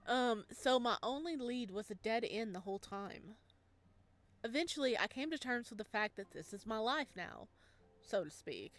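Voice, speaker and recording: A woman's voice: on the high side, expressive, moderate pace, lightly acted. A woman voicing a lonely, wistful young male ghost as she reads a visual novel aloud.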